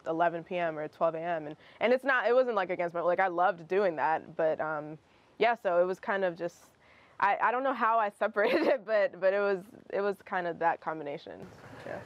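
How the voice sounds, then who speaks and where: Low-voiced young woman, chatty and halting. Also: dry recording, muffled recording. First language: Russian. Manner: rambling, restarts often, laughs between phrases. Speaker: guest singer. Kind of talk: casual interview answers. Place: outdoor terrace with garden.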